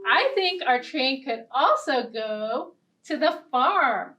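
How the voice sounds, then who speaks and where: A young American woman hosting a storytime, speaking in an animated way to young children. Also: high voice, slow pace, far from the mic, faint music behind. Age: young adult. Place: indoor storytime set with backdrop.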